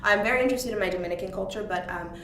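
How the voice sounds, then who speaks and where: A young woman answers interview questions thoughtfully and pauses on ums and uhs. She sits a little back from the mic in a roomy indoor space. A faint hiss sits under her voice. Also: mid-range voice, a bit bright, distant mic. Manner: reflective, frequent ums and uhs.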